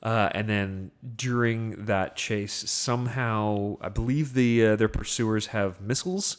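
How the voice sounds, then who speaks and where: Male film podcast host, lower register, casual conversational recapping with little acted asides. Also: measured pace, clear, resonant tone.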